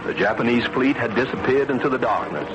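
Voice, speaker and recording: An earnest American scientist played by a man narrates in dramatic old-time radio style, with a medium-pitched voice. Loud music runs behind him on a vintage broadcast recording. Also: a little fast, lo-fi sound, lightly acted. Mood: dramatic, triumphant. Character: earnest navy scientist recounting his discovery. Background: prominent music.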